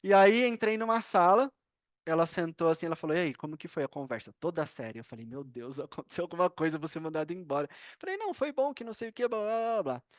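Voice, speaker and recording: A man's voice: on the high side, quick pace, dry recording. Brazilian male podcast guest, casual slangy storyteller, dry and slightly dull online-call sound. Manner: informal, slangy, animated retelling with acted-out dialogue. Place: indoors at a computer on a video call.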